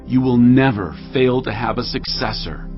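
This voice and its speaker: An actor playing an aged, solemn and commanding king near death, speaking gravely and deliberately in a dramatised audio Bible. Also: medium pitch, lightly acted. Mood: grave, fatherly. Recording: dull lo-fi audio with music behind.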